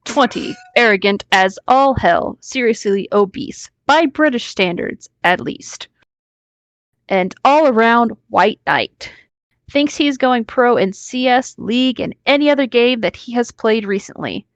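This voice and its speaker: Woman from texas reading out a story, slow and really expressive, mocking tone. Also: on the high side, no room sound. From Texas, United States. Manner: theatrical, mocking. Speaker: co-host reading a story aloud.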